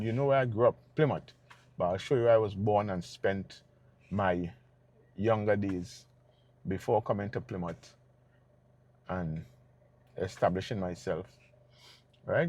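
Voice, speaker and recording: Tobago guy vlogging, easygoing and rambly, keeps saying right?, middle pitch. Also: dry recording. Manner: relaxed and rambling, checks in with "right?". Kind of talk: casual vlog talk to viewers.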